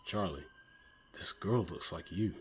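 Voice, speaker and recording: A man playing a blunt, businesslike small-town police investigator in a comic dub, husky and matter-of-fact. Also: sits low, a little slow, a little hiss or hum.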